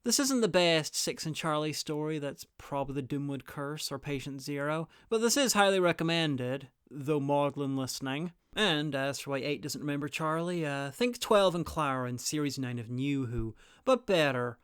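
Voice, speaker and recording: High-voiced male reviewer, crisp and dry. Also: animated, moderate pace, hardly any pauses, fairly bright tone, dry recording. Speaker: review video host. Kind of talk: scripted review narration.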